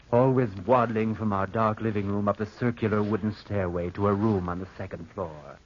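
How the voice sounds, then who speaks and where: An actor playing a secretive young nephew in a 1940s radio drama, theatrical and halting, repeating phrases as if confessing. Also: male voice, low voice, a little mellow, slight background noise. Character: brooding, secretive young nephew, devoted heir narrating his past. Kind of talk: 1940s radio acting, confessional narration and dialogue. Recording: dull vintage radio drama recording.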